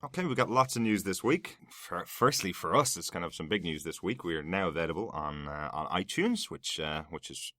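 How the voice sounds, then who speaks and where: A man's voice: low-pitched, lively intonation, brisk, few pauses, pushed and bright, dry recording, clean studio sound. A man hosting a casual podcast, chatty, laughing easily and full of fillers and restarts. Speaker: podcast host.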